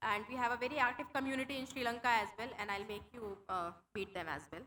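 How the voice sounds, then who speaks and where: A woman's voice: a little high, steady tone, fast talker, sharp tone. A young woman speaking English with an Indian accent, her first language Hindi, giving a conference tech talk with a live demo, explaining things with many fillers and restarts. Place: conference hall.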